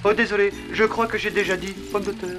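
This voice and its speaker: A woman's voice: medium pitch, steady tone, quick pace, close to an everyday voice. A woman voicing an earnest, dull narrator of a parody educational film in a cartoon. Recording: film narration with music behind.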